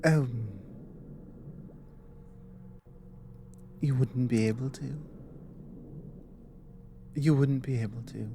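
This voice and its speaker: A woman playing an earnest, apologetic female dragon in an ASMR audio roleplay, explaining things hesitantly in a soft voice. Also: a bass voice, slow pace, a little hiss or hum, exaggerated voice. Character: earnest, apologetic female dragon warning a human ally of war.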